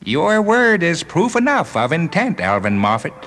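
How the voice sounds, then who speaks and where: A man voicing an alien guardian sphere, declaiming in solemn, archaic, drawn-out phrases for a science fiction story. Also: fairly high pitch, wildly swooping pitch, fairly bright tone, soft background music, voice pushed up. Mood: grandiose, solemn. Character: alien guardian sphere, grandiose herald turned hustling fight manager.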